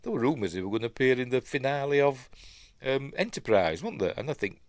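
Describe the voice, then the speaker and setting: Lively-voiced Yorkshire man, sniffly. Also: medium pitch, quick pace, no room sound. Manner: chatty, self-interrupting asides and mumbled afterthoughts. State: sniffly, stuffy nose. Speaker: host of a news live stream. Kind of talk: rambling live-stream commentary.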